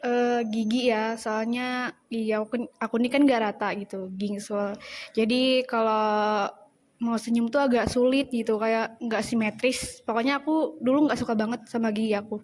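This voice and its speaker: A woman's voice: a little high, steady tone, moderate pace, a little mellow. A young woman answering interview questions candidly about herself, with a shy manner. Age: young adult. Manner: self-described shy introvert.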